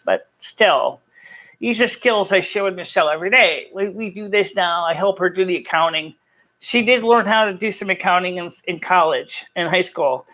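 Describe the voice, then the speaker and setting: A live stream host chatting casually and telling personal stories in an earnest, halting, rambling way. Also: medium pitch, a bit bright. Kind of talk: casual chat to a live chat audience, personal storytelling.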